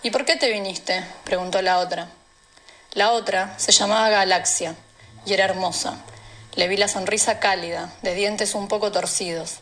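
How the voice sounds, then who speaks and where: Female reader, Argentine accent, mid pitch, for first-person literary narration. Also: fairly even intonation, normal speed, a bit bright, rough recording. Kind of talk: literary narration of a novel extract.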